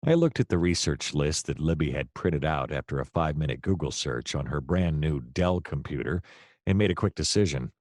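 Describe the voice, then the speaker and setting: An actor playing a hapless hard-boiled private detective, narrating his case in a wry, deadpan noir radio-play style. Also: a bass voice, brisk, dry recording, clean studio sound.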